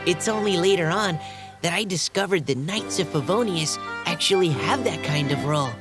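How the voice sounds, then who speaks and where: A woman voicing a cheerful, earnest young male surveyor in a game, soft and youthful, over game music. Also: on the low side, animated, moderate pace, natural voice.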